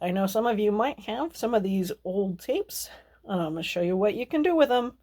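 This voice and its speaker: A woman's voice: medium pitch, expressive, fairly quick, warm tone. A woman hosting a casual step-by-step craft tutorial, chatty and informal as she talks to viewers. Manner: chatty, informal, addresses viewers as you guys. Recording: distant mic, faint background noise.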